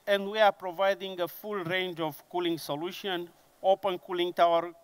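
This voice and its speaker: Romanian-accented man presenting, halting and smooth. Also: high-pitched, fairly bright tone. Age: 50s. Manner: unhurried and halting, with frequent fillers and restarts. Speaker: conference speaker. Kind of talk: industry conference presentation.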